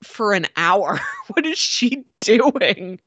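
A woman's voice: medium pitch, very animated, drawn-out words, fairly bright tone, breathy edge, dry recording. A woman co-hosting a podcast in casual chat, talking informally and descriptively with wry, playful asides. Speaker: podcast co-host discussing a film.

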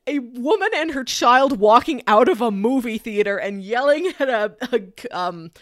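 Higher-voiced woman chatting in a dry room. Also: animated, normal speed, dry recording. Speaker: podcast co-host. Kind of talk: casual film discussion chat.

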